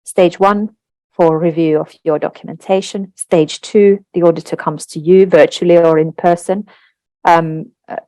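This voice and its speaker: A woman with a low voice whose first language is Finnish walks listeners through a process in a webinar. She explains patiently, with the odd filler and tag question. The sound is dry and close, with no room in it. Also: mellow, dry recording. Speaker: webinar presenter on translation standards. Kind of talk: webinar presentation.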